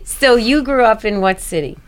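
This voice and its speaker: A woman's voice: a little high, expressive, moderate pace, smooth. A woman hosting a podcast as a life coach, friendly and encouraging as she asks her guest questions.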